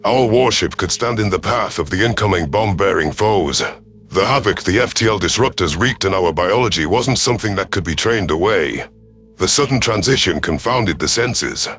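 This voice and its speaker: A man's voice: sits low, animated, normal speed, fairly bright tone. A man reading a first-person science fiction story as a scripted narration. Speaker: narrator of sci-fi audio stories. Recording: music behind the voice. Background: music.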